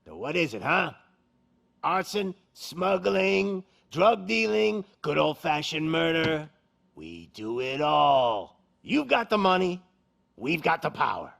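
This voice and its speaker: A man's voice: on the high side, very animated, slow, creaky, a bit bright, exaggerated voice. A man playing a cocky, menacing gang boss in a video game, with a taunting showman's delivery. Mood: taunting sales pitch. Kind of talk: video game villain acting.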